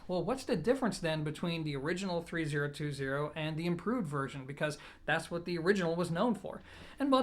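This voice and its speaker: A man with a fairly high-pitched voice talks through a product review. He sounds conversational and explanatory, setting points out in a measured way. Key words get an emphatic stress. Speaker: audio gear reviewer, presenter.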